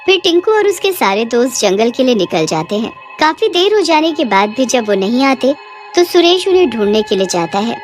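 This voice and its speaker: High-pitched animated woman storyteller. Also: normal speed, faint music behind. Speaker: narrator. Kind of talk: scripted horror bedtime-story narration.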